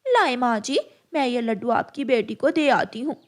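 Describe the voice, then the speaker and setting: A woman's voice: fairly high pitch, expressive, normal speed, natural voice. A woman voicing a greedy, bullying female crow in a cartoon, with a pushy, demanding manner. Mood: sly coaxing.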